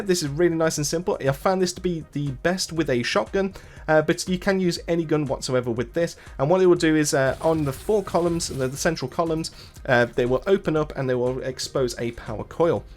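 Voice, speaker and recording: Fast-talking man narrating a game guide. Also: on the high side. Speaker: gaming channel host. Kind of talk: casual video game walkthrough narration.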